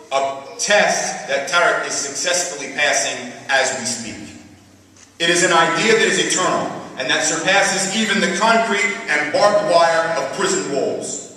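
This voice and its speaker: A man delivers an earnest, formal political speech in a highish, forceful voice. He leans on rhetorical phrasing, and the sound is distant and very reverberant, as in a big hall. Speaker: activist speaker at a community event. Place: large hall at an Islamic center event. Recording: very echoey hall, distant mic, faint noise.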